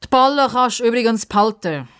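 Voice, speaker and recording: Woman doing a whiny, self-important boy, pitched up. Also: lively intonation, brisk, fairly bright tone, clear, resonant tone. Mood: offhand. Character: stout boy footballer, self-important, mocking, sulky.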